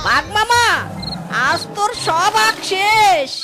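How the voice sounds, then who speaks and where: A mischievous little animal thief for a children's cartoon. The voice is tiny, squeaky and extremely high, full of sly, boastful glee. Loud music plays behind it. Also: expressive, takes it slowly, heavily acted. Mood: gleeful, then panicked. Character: sly, gleeful small animal thief who steals sugarcane.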